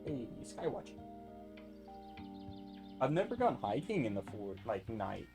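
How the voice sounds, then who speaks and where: A man's voice: mid-range voice, sing-song, takes it slowly, creaky, over music. A man hosting a casual reaction video, rambling and interrupting himself as he goes off on tangents.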